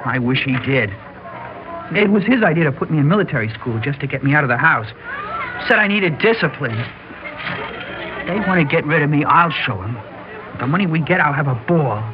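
A man's voice: a little high, animated, fairly quick, pauses often, close to an everyday voice. An actor playing a cocky, resentful rich teenage boy with slangy, eager speech in a vintage radio drama. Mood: bitter. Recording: muffled old radio recording, faint noise.

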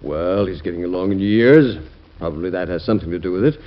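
Actor as a deep, quick-talking scheming aide. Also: male voice, lightly acted. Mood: coolly persuasive. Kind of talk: 1940s radio acting. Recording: dull old radio recording.

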